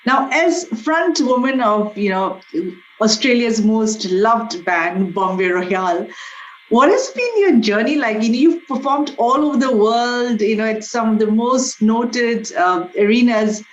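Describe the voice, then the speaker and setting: A woman's voice: a little high, expressive, measured pace. A woman interviewing a guest in a conversational way, putting questions as the host. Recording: dull, echoey lo-fi sound.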